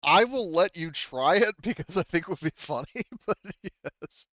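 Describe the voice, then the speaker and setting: A man's voice: high-pitched, animated, normal speed, pushed and bright, no echo, muffled recording. A man refereeing a casual tabletop game as game master, thinking aloud as he explains the rules.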